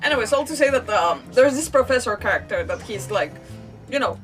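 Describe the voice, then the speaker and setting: Streamer girl, highish bouncy voice, rambly casual chat, bit far from mic. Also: a little fast. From Mexico. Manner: rambling, giggly, animated. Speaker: game streamer. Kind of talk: casual livestream chat over gameplay. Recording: distant mic, faint game music. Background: game music.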